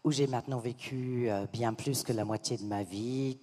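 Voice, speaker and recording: A middle-aged woman with an American accent, speaking French on a conference panel as an association advocate, earnest and measured with some hesitations. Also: very deep voice, steady tone, dark tone. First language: English. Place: large conference hall. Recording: long hall reverb.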